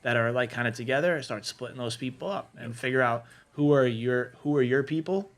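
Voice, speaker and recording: A man with a mid-pitched voice gives casual advice in a podcast discussion. He explains things conversationally, setting out his points one after another as he goes. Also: a little fast. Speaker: podcast panelist giving restaurant management advice.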